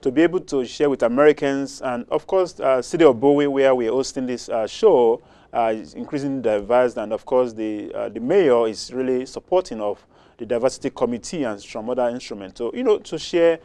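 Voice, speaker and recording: A man's voice: medium pitch, fairly quick, mellow, dry recording. A man hosting a studio talk show, asking questions with many hesitations and fillers. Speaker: host.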